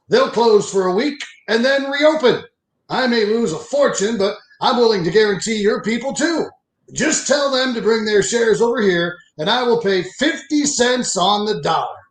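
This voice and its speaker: A man acting a high-voiced, scheming old banker. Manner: imperious, calculating. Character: scheming rich old male banker, menacing and smug. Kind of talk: live radio play acting. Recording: roomy, echoey sound.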